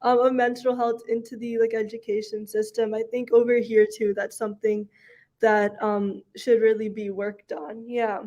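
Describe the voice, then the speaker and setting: High-pitched woman hosting an earnest interview. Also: level tone, moderate pace, dark tone, dull audio. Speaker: host of an online talk on menstrual health. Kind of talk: conversational interviewing.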